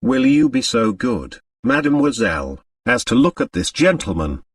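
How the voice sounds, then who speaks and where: A man's voice: mid-range voice, big pitch swings, normal speed, no echo, distant mic. Man as a courteous, probing French magistrate. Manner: polite, hesitant, formal. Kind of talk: audiobook character dialogue.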